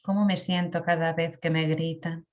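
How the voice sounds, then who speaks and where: Woman talking you thru a meditation, kinda low voice, calm, lots of pauses. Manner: slow, calm, reflective guidance with long pauses. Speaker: meditation guide. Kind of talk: guided emotional meditation.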